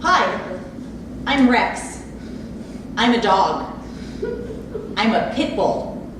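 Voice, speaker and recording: Woman as a wry, slow-talking pit bull, echoey. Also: mid-range voice, very animated. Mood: matter-of-fact. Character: wry, chatty female pit bull dog. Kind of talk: staged play reading. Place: theatre space, recorded from audience distance. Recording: echoey, distant, noisy room recording.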